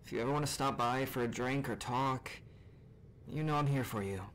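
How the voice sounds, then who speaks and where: A man plays a gentle family friend leaving a consoling roleplay message. His voice is medium in pitch and earnest, with faint ambient noise behind it. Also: fairly quick, natural voice. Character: sympathetic, gentle male family friend with kids.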